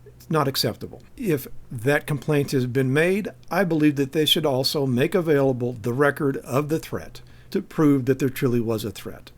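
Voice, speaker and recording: A man's voice: mid-range voice, moderate pace. American man, insistent commentator. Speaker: activist commentator. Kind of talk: critical spoken commentary.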